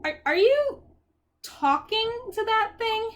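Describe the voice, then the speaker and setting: A woman's voice: very high-pitched, expressive, takes it slowly, voice pushed up, full character voice. A woman reading a wry, sarcastic and often incredulous teenage boy hero in a story.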